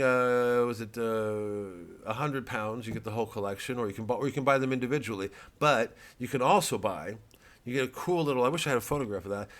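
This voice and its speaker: A middle-aged man, a comedian, talking in a rambling, sardonic monologue that swings into loud, mocking asides. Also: lowish voice. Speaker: comedian promoting his work.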